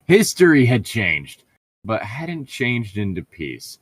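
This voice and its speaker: A man's voice: medium pitch, big pitch swings, slow, slightly rough, no echo, clean studio sound. A man reading fan fiction aloud in a casual, mocking way, swearing and stumbling over words as he makes sarcastic asides. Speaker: co-reader on a fan fiction riffing show.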